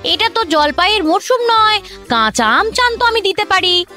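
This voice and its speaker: Flustered forties woman officer, comic radio drama; very animated, high, rambling, faint music behind. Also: moderate pace, exaggerated voice. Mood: eager, flustered. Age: 40s. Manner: flustered, rambling, stammering, over-explaining. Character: stout talkative domineering female government officer past forty.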